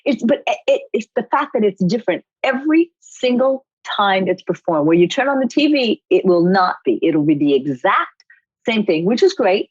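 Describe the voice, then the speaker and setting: A middle-aged woman from Rhode Island, a choreographer telling stories in a casual interview, expressive and quick to laugh. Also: mid-range voice, slightly rough, no room sound, dull audio. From United States, Rhode Island. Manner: animated, expressive storyteller who laughs often. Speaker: guest choreographer.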